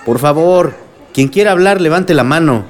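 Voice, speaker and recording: A man's voice: a little high, lively intonation, normal speed, a faint crowd, natural voice. An actor playing a conciliatory male village president formally appealing for order in a radio drama. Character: village president chairing a tense assembly, conciliatory.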